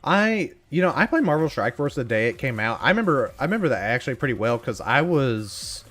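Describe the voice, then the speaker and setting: A man's voice: medium pitch, very animated, brisk, a bit bright, faint music behind. A man in his twenties chatting casually while streaming a mobile game, joking and laughing often. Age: 20s. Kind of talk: casual gaming livestream chat.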